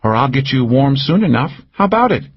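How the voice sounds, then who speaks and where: Man voicing a bold flirty woman, raised pitch, breathy, teasing, dry muffled recording. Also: a little fast. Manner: brash, teasing, lively. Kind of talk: audiobook character voice.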